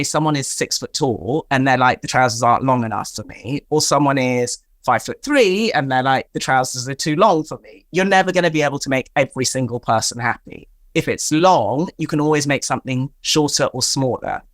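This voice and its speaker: A woman's voice: very deep voice, animated, fairly quick, hardly any pauses, dry recording. Talkative woman, dry sound. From Tanzania. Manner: emphatic, talkative, explains with examples. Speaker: guest, fashion stylist. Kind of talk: conversational interview.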